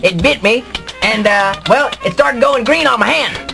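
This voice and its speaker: High-pitched eager little boy. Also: creaky, music in the background, full character voice. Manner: halting, whiny, stammering. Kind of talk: comedic radio drama acting.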